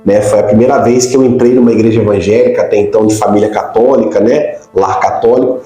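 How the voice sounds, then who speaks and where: A man's voice: on the low side, steady tone, fast, dark tone. A middle-aged Brazilian man from Rio de Janeiro state, a pastor explaining things conversationally as an interview guest. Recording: very echoey room, faint music.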